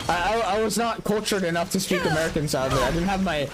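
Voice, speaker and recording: Lively young man chatting on stream. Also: high voice, quick pace, loud music behind the voice, poor audio. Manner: joking and self-mocking, laughs often. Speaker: game streamer. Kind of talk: casual live-stream chat while gaming.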